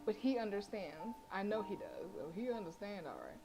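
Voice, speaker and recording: A woman's voice: mid-range voice, brisk, faint music behind. A woman streaming a visual novel, reading the story aloud and reacting with brash, profane, slangy commentary. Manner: brash, animated and profane, with slangy trash talk.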